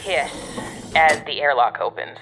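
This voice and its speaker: An actress playing a gruff, exasperated but protective woman guiding a colleague over the radio in an audio drama, bossy and sardonic. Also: sits low, unhurried, over music, poor audio. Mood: wry, instructing.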